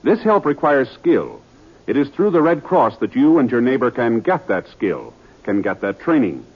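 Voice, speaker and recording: A male radio announcer giving a formal, measured introduction and public service announcement on a 1950s broadcast. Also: medium pitch, very animated, husky. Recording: dull old radio broadcast with music.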